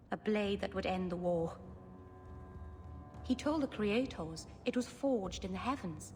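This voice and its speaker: A woman's voice: medium pitch, darkish tone, slight background noise, lightly acted. An actress playing an earnest female elf storyteller telling myths in a fantasy game. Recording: long reverb, clear background ambience.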